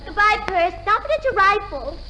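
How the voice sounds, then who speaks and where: A woman's voice: extremely high pitch, very animated, normal speed, bright, forceful tone, exaggerated voice. A woman playing a doting, naive, cheeky young woman with a gushing, cooing delivery in a 1950s radio comedy. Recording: noisy 1950s radio recording.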